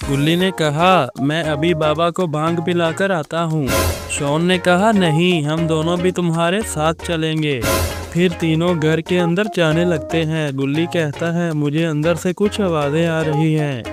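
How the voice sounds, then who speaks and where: A man's voice: fairly high pitch, steady tone. A man narrating a comic cartoon story from a script, reading out all the characters' lines himself. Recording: music behind the voice. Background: music.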